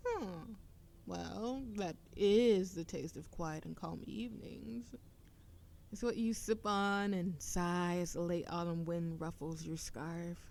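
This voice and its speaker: Slow-talking, teasing young woman played by an actress. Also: fairly low pitch, darkish tone. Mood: wistful, mock-poetic. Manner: playful, teasing, breathy laughs and drawn-out phrases. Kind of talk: intimate roleplay audio acting, one-sided dialogue.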